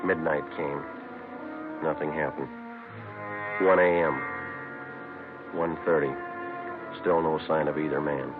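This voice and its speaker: A deep-voiced police detective in an old radio crime drama. He reports in clipped, deadpan fashion. The sound is narrow and muffled, like a 1950s broadcast. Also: takes it slowly, pauses often, over music, exaggerated voice. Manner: clipped, deadpan, matter-of-fact. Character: terse police detective narrating his own case.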